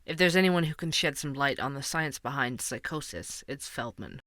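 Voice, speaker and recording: A man's voice: mid-range voice, animated, normal speed, bright, forceful tone, slight background noise. A man playing an inquisitive, earnest true-crime podcast host, delivering scripted narration. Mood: informative.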